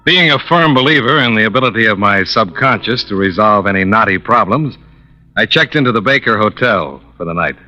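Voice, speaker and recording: A cool American insurance investigator from a fifties radio drama. His voice is fairly low and forceful, with a dry, matter-of-fact clip. The vintage recording is muffled. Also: lively intonation, a little fast, faint music behind. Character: cool, businesslike male insurance investigator narrating his case. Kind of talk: 1950s radio detective drama acting.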